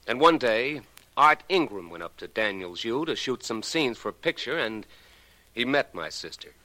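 An actor playing a slangy, embittered Hollywood screenwriter with a wry manner in 1940s radio drama style. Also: male voice, medium pitch, very animated, moderate pace, sharp tone, no room sound, low-quality recording. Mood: bitter, recounting.

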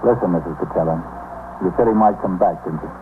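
An actor playing a brisk, insistent American police sergeant in a 1950s radio drama. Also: male voice, low voice, lightly acted. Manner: brisk, pressing, matter-of-fact questioning. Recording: tinny, hissy 1950s radio recording.

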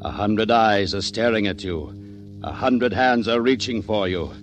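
An actor playing an ominous, menacing narrator in a 1940s radio adventure show. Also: male voice, on the low side, unhurried, lightly acted. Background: loud dramatic music.

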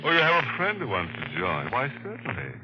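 An actor playing a macabre, tongue-in-cheek horror host, theatrical and drawn-out, in the style of 1940s radio. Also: male voice, medium pitch, huge pitch swings. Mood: gleefully macabre. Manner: theatrical, drawn-out delivery with morbid jokes. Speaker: radio mystery show host. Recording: muffled, noisy 1940s radio broadcast.

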